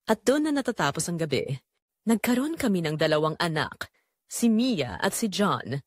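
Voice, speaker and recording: A woman playing an unhappy wife and mother with a Filipino accent, confessing her betrayal in measured, reflective first-person audio drama narration. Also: on the low side, sing-song, no echo, clean studio sound. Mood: confessional, wistful.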